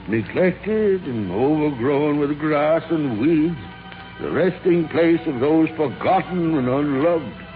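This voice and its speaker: An actor playing an old miser named for repentance, with halting, exclamatory delivery in a 1940s radio drama. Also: male voice, fairly high pitch. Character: frightened, repentant old miser turned giddy with joy. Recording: tinny, band-limited 1940s radio recording.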